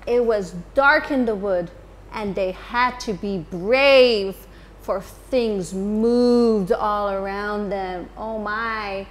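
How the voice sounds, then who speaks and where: A woman in her thirties, a teacher, reading a picture book to children in an expressive storytime manner in a school library. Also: medium pitch. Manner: slow, expressive, addressing children.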